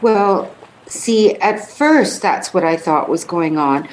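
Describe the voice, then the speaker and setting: Woman acting a timid flustered passenger, slow n halting, radio play, slight noise. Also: fairly low pitch, animated, rough recording. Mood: flustered, hesitant. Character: timid, hesitant female boat passenger.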